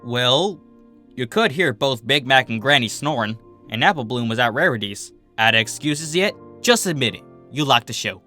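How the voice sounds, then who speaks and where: A man voicing a steady, affectionate, teasing female farmer pony. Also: mid-range voice, moderate pace, faint music behind. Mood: teasing, insistent.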